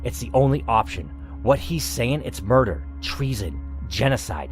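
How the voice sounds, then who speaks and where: A man's voice: medium pitch, animated, normal speed, soft background music, lightly acted. A man voicing an anxious, determined woman survivor in a story game. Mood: urgent.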